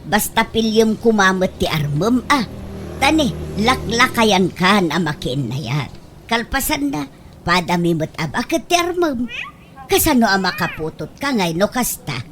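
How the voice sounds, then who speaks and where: A woman's voice: medium pitch, sing-song, a little slow. Woman playing frank, bossy eldest Ilocano sister. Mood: scolding, advising. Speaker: radio drama actor. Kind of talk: Ilocano comedy radio drama acting. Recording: faint background noise and ambience.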